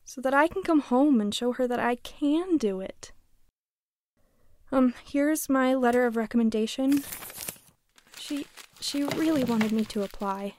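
A woman's voice: high-pitched, unhurried, pauses often, a little mellow. A woman playing a nervous young female ship mechanic who speaks hesitantly and stammers.

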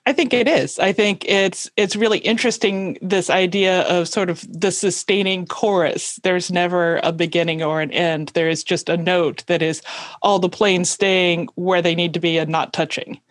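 A woman's voice: on the low side, steady tone, moderate pace, dry recording. A woman, hosting a podcast and interviewing in a conversational way.

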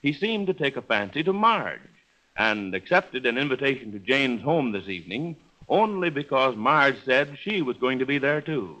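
A man's voice: mid-range voice, animated, normal speed, bright, forceful tone, poor audio. A man announcing in formal, polished 1930s radio style, reading persuasively from a script. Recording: vintage 1930s radio broadcast recording.

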